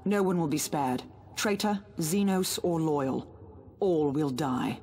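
A woman playing an imperious, threatening Inquisitor in a video game, speaking in a measured, formal way. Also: deep voice, warm tone, slight background noise. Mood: grim. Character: stern, cold female Inquisitor, imperious and threatening. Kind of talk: dramatic video game cutscene acting.

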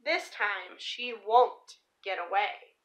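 A young woman presenting to children in an expressive storybook read-aloud style, stressing key words like a teacher. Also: mid-range voice, slow, poor audio. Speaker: teacher reading a picture book aloud for children. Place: small living room or room at home, indoors.

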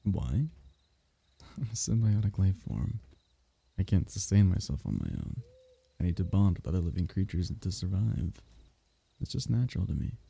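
A man voicing a possessive, soothing alien symbiote in an ASMR roleplay, speaking in a soft, coaxing, intimate way with breathy drops into whisper. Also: sits low, lively intonation, moderate pace, long pauses, exaggerated voice. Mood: tender, possessive. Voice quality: husky, breathy, often dropping into whisper. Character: possessive, soothing alien symbiote, male, semi-liquid creature.

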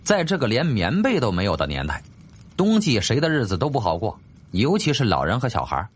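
一位男演播者以戏剧化的方式讲述历史小说，是照稿演播的有声书旁白。. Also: male voice, medium pitch, huge pitch swings, brisk, breathy edge. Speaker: audiobook narrator. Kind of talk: dramatic scripted narration of a historical novel.

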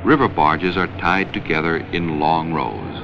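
An American man reading scripted narration for a 1970 educational film in a measured, instructional way. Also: extremely low pitch, expressive, vocal fry, background noise. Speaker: documentary narrator. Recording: dull vintage 16mm film soundtrack, music.

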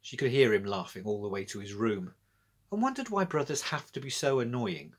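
A man's voice: medium pitch, sing-song, fairly quick, husky. A middle-aged British man narrating a children's story as a storyteller. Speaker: author and storyteller. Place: small indoor room with bookshelves.

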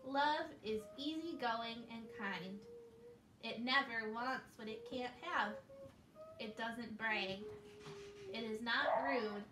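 Woman as children's puppet show host, high voice, encouraging and simple, recorded in an echoey room from a distance. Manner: simple, encouraging talk addressed to small children. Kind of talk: scripted children's storytelling. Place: living room, indoors. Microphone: distant camera. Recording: echoey, noisy room, distant camera microphone.

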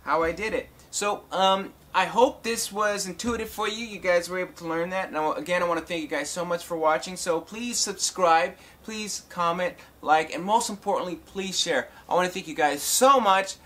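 Bright-voiced man giving a casual tutorial. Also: high voice, moderate pace, few pauses. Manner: informal, addresses viewers as guys and folks. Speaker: talk box tutorial host, musician. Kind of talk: casual instructional video talk to viewers.

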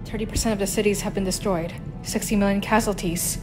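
An actress playing a formal starship bridge officer in a science fiction audio drama, giving clipped military reports. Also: female voice, mid-range voice, fairly even intonation, fast, lightly acted. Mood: formal, tense. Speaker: voice actor. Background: music.

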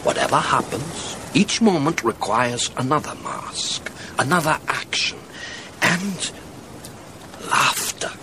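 An actor in a radio drama playing a passionate, restless, melancholy officer father, philosophizing in a dramatic, halting way. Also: a little high, expressive, background noise. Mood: brooding intensity.

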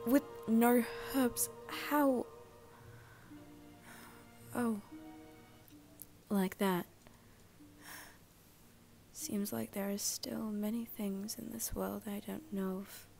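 A woman voicing a wary, easily flustered teenage boy in an anime ASMR roleplay, with halting, stammering speech. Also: mid-range voice, steady tone, creaky, a little mellow. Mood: bewildered. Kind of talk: ASMR roleplay acted to the listener. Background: background music.

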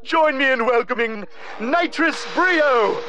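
An actor voicing a grandiose, petty evil mad scientist in a cartoon game, pompous and melodramatic. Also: male voice, extremely high pitch, expressive, takes it slowly, background noise, heavily acted. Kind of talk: cartoon video game acting.

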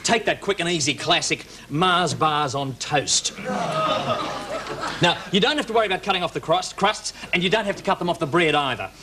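Aussie guy doing a spoof cooking show, really swoopy theatrical delivery, loud studio crowd behind him. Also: fairly high pitch, normal speed. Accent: Australian. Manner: animated, theatrical mock-earnest presenting. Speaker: comedy TV cooking presenter. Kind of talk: mock cookery demonstration, sketch comedy. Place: TV studio kitchen set. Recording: noisy old TV audio, studio audience. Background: audience noise and laughter, clicks.

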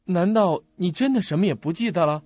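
Man acting a high, lively, puzzled young sleuth. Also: fairly quick, dry recording. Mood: pressing. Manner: questioning, musing to himself. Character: earnest, puzzled young male student playing detective. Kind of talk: audio drama acting. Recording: muffled lo-fi audio.

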